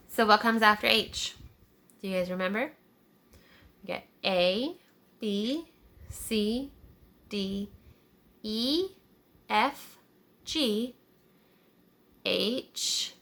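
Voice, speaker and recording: Bright, unhurried woman reading to children. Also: medium pitch. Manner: addresses young children with checking questions. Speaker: teacher reading a picture book aloud. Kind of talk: children's read-aloud narration.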